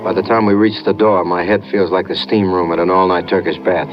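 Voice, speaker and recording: Streetwise radio hero played by a man: low, laconic wisecracker, dull noisy 1950s recording. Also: level tone, brisk, over music, close to an everyday voice. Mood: wry narration. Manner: wry, slangy, laconic. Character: wisecracking streetwise tough guy, male adventurer and narrator. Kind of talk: 1950s hard-boiled radio drama acting.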